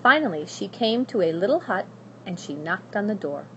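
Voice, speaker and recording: A woman narrating a children's story aloud in a dramatic, expressive and deliberate way. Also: mid-range voice, low-quality recording. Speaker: school staff member reading aloud. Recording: faint background noise.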